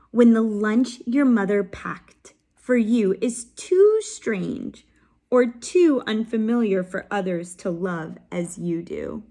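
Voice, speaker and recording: A woman reads a picture book aloud to young listeners in a slow, unhurried way. Her voice sits a little on the high side, and she stops often to ask gentle questions, sometimes dropping to a soft whisper close to the mic. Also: gravelly. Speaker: children's read-aloud host. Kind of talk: expressive picture-book read-aloud with teaching pauses.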